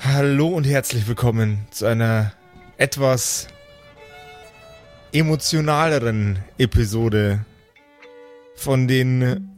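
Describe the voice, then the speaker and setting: A man narrates an improvised tabletop story as game master at a slow pace. His mid-pitched voice is measured and descriptive, pausing deliberately, with faint music underneath.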